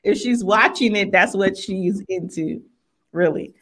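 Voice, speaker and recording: Blunt woman host with a lowish voice. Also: a little slow, a bit bright. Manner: blunt, slangy. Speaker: talk show host. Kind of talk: casual, frank panel chat. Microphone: large studio microphone on a video call.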